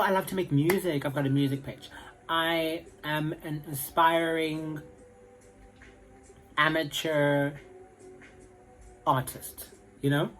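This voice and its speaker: A man's voice: a little high, rough recording. A young man with a South African accent, chatting casually to camera in a rambling way full of fillers. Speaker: vlogger.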